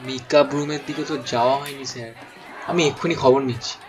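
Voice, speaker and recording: An actor playing an eager young male spot boy on a TV set, brisk and deferential, in an audio drama. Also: medium pitch, steady tone, over music, a crowd in the background, lightly acted. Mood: eager, obliging.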